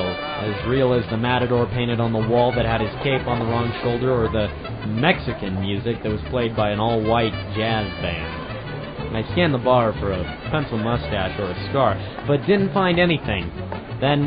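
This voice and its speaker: Man acting as wry hard-boiled detective, lo-fi, loud music. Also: mid-range voice, natural voice. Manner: sardonic, deadpan. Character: wry, hard-boiled male private eye narrating his case. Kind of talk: 1940s radio noir detective acting. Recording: dull lo-fi audio with loud music.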